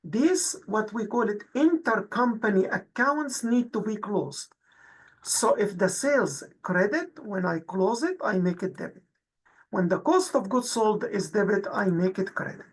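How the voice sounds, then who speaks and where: Female instructor, mid-pitched and husky, methodical explanatory delivery for a tutorial. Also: animated, unhurried. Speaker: accounting instructor. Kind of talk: explanatory tutorial narration over exercises.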